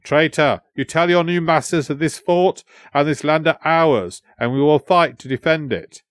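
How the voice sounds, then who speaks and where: A man's voice: fairly high pitch, moderate pace, no room sound, pitched above the performer's own voice, lightly acted. Defiant noble prince, acted by a male narrator, declamatory and proud, storybook battle drama, close dry recording.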